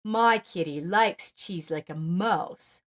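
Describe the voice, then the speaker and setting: A woman's voice: on the low side, takes it slowly. A woman voicing a friendly young man who talks in a light, playful way.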